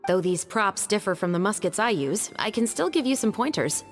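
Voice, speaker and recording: A woman voicing a disciplined, serious officer and weapons expert in an anime-style video game, firm, measured and instructive. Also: mid-range voice, very animated, soft background music. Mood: composed, earnest.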